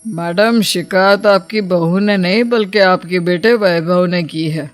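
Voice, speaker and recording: A woman narrator voices a police inspector in an audio family drama. The delivery is stern and official, slow and plain, with soft music behind it. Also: fairly low pitch, natural voice. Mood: firm.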